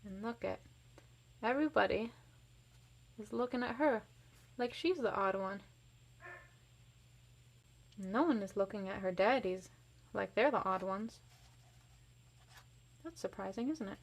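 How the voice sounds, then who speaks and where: A woman's voice: medium pitch, measured pace, creaky, long pauses. A woman reading a picture book aloud expressively to children, with casual explanatory asides. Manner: animated, varies voice for characters.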